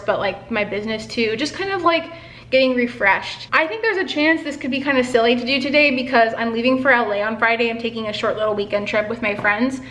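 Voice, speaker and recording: A woman's voice: on the high side, fairly bright tone. A young woman in her twenties, chatting casually to camera at home with lots of filler words and busy hand gestures. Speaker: artist vlogger. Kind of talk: casual vlog chat. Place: home living room and kitchen, indoors. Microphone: camera on a stand. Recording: echoey room.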